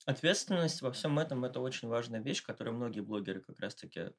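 A man's voice: medium pitch, lively intonation, quick pace. A man giving a casual, slangy and ironic interview as a podcast guest. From Russia, Moscow. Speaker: interview guest, satirical blogger.

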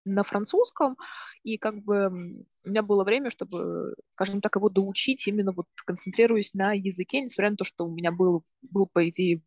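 A woman's voice: mid-range voice, darkish tone, dry recording. Thoughtful young woman, dry close sound. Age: 20s. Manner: thoughtful, explains step by step, often pauses to rephrase. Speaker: podcast guest, university student. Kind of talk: casual explanatory talk.

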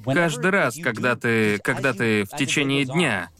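A man speaking as a podcast guest in a dubbed conversation, talking measured, clear and deliberate. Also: medium pitch, expressive, music in the background, natural voice.

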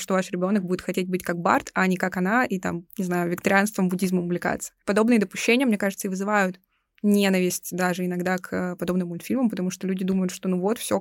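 A woman's voice: medium pitch, no echo, studio quality. A young woman co-hosting a podcast, talking casually and analytically, with opinionated phrasing and youthful slang. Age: young adult. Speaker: podcast co-host.

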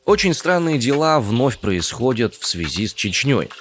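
A man's voice: mid-range voice, very animated, moderate pace, faint music behind. A man co-hosting a news discussion show, reading news items and thinking aloud with some hesitation.

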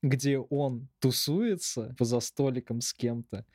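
A man's voice: mid-range voice, slow, darkish tone, no echo, clean studio sound. A young man, chatting as a podcast co-host in a slangy, wry way with some swearing. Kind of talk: casual chat with swearing.

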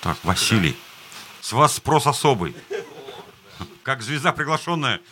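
A man's voice: medium pitch, sing-song, a little slow, crowd noise. A male host casually interviewing band members, asking joking questions.

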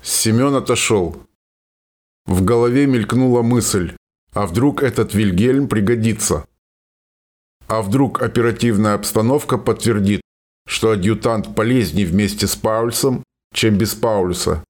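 A man's voice: fairly low pitch, a little slow, darkish tone. A man reading scripted narration of a war story as the narrator of a radio play.

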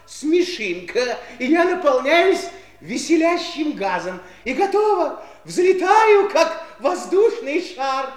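Merry fussy old uncle, high and swooping, chuckly, courteous; vintage audio play. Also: male voice, big pitch swings, slow, hardly any pauses, heavily acted. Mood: tickled, explaining. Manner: exclamatory, chuckling, courteous, effusive. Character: merry, giggly, fussy bald old uncle who floats. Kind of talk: theatrical 1960s audio play acting. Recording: roomy 1960s studio drama recording with music.

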